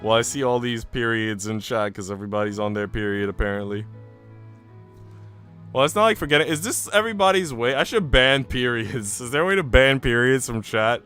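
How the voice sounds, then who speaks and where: A man's voice: medium pitch, a little fast, fairly bright tone, clean tone, soft background music. A man live streaming, reading a visual novel aloud and dropping into casual, slangy asides to his chat. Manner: informal, slangy, chatty.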